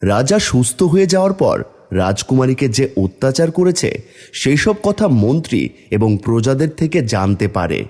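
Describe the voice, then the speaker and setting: A man's voice: medium pitch, sing-song, moderate pace. A man reading scripted fairy-tale narration as the storyteller. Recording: loud music behind the voice. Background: loud music.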